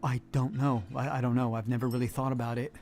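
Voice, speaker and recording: An actor playing a nervous, apologetic, lovestruck ventriloquist in a noir audio drama, stammering and fretfully repeating himself. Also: male voice, mid-range voice, flat delivery, fast talker, darkish tone, soft background music, lightly acted. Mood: uneasy.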